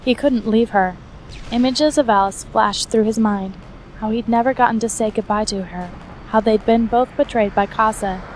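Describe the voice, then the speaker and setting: A woman's voice: a little high, normal speed, a little mellow, slight background noise, low-quality recording. A woman reading scripted fiction aloud as the narrator of an action fantasy story.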